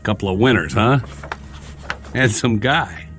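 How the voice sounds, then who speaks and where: An actor playing a chatty, wry American soldier in a radio drama, talking in a folksy, rambling, joking way. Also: male voice, on the low side, measured pace, fairly bright tone, faint music behind. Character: chatty, wry, friendly American soldier, lonely war survivor.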